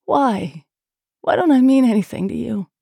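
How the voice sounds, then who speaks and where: A woman voicing an anguished, defiant female lover in an audiobook, breathy and emotional, accusing and pleading. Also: a little high, animated, drawn-out words, warm tone, no echo, studio quality, voice pushed up, heavily acted. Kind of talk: audiobook character dialogue.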